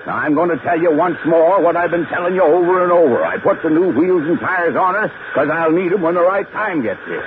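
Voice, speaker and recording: A man's voice: a little high, animated, fairly quick, hardly any pauses, lightly acted. An actor playing a stubborn, testy father devoted to his steam car, folksy and grumbling, in a 1950s radio drama. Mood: exasperated, insistent. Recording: noisy, narrow-band 1950s radio recording.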